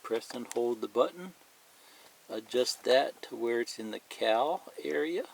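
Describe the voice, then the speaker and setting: A man talks slowly through a practical tutorial in a medium-pitched voice. He is plain-spoken, repeating himself and pointing out each step as he goes. Light noise sits behind him, as in a home video. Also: lively intonation, gravelly, rough recording. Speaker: hobbyist instructor. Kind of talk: step-by-step hands-on tutorial demonstration, partly reading a manual aloud.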